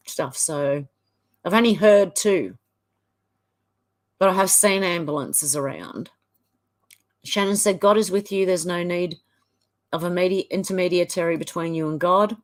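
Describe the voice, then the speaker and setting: A woman's voice: on the low side, a little slow, long pauses. A woman hosting a casual live stream, reading viewer comments aloud in a chatty run with small fillers. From Australia. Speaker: livestream host.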